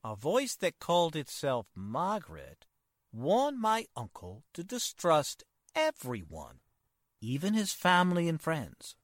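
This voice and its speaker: A man playing a polite, hesitant, worried nephew in 1940s radio drama style, earnest and formal, halting as he speaks. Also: a little high, wildly swooping pitch, no echo, studio quality, heavily acted. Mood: troubled.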